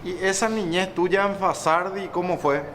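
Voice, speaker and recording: A man's voice: high-pitched, steady tone, normal speed, fairly bright tone, a little hiss or hum. Man asking short prompting questions. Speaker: interviewer and host. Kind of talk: casual interview.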